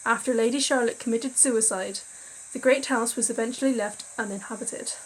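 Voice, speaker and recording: A woman's voice: a little high, a little hiss or hum, rough recording. A tired young woman reading a visual novel's story aloud and chatting casually, often tripping over words and laughing at herself. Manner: chatty, self-deprecating, stumbles over words while reading. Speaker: gaming video creator.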